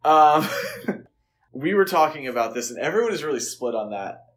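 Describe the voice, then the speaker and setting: A man's voice: a little high, big pitch swings, normal speed. A man co-hosting a podcast, chatting casually and speculatively about films. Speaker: podcast co-host. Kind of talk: casual film discussion podcast.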